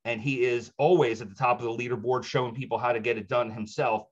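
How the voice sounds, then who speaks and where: A middle-aged agency leader introduces a speaker with heartfelt pride, using a plain mid-range voice. The recording is dull and lo-fi. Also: male voice, medium pitch, fairly even intonation, fast talker. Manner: heartfelt, proud. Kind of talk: warm stage introduction.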